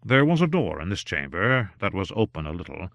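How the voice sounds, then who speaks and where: A man with a fairly low voice reads a novel aloud in a steady, measured way. The sound is dry and close, with no room around it. He keeps character voices restrained and lets the story carry. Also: creaky, dry recording. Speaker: audiobook narrator. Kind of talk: unabridged novel reading.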